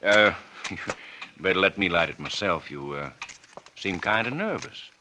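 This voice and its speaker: A man's voice: deep voice, lively intonation. An American actor playing a sly, folksy police captain who needles his suspect with insinuating talk in a 1940s radio drama. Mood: sly needling. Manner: folksy, insinuating, drawn-out deliberate teasing. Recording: noisy old 1940s radio recording with clicks.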